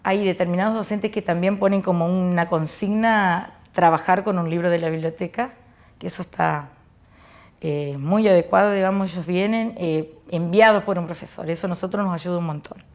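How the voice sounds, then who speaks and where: A woman with an Argentine accent explaining informally in an interview as library staff, in a halting way with frequent filler phrases. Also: on the low side, darkish tone. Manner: halting, leans on "digamos".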